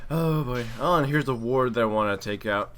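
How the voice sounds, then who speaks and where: A man's voice: mid-range voice, fairly quick, fairly bright tone. A man giving casual, wry game commentary with some mild swearing and trash talk. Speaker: gaming video commentator and player. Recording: clearly noisy home recording.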